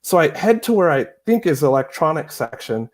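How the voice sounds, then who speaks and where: A man recounts a misadventure with big swings in pitch and plenty of self-mockery. He acts out the scenes instead of just telling them. The sound comes through an earphone mic in a small room on a video call. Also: big pitch swings, normal speed. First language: not Chinese. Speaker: Chinese teacher, guest. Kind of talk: animated storytelling on a live video call.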